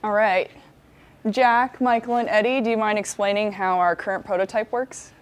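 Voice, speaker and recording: A woman's voice: medium pitch, fairly even intonation, moderate pace, slight background noise. A young woman student hosting a casual walkthrough of a class project in a university lab, talking upbeat and friendly. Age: young adult. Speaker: student host of the video. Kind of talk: casual presenting. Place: university engineering lab, indoors.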